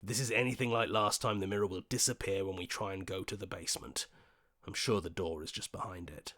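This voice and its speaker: A man voicing a level-headed, questioning hero in a video game, speaking earnestly in short deliberate lines. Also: deep voice, a bit bright.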